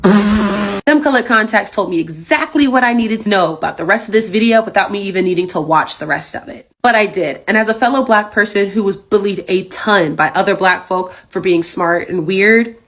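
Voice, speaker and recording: A woman's voice: medium pitch, normal speed, fairly bright tone. Woman talking blunt and matter-of-fact, mid voice. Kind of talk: response video commentary.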